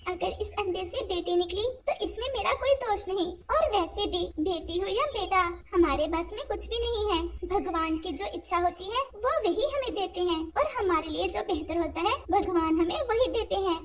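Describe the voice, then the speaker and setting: Woman as a gentle, high-voiced mother bird. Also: normal speed, few pauses. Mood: pleading, patient. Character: patient, sad, devout mother bird.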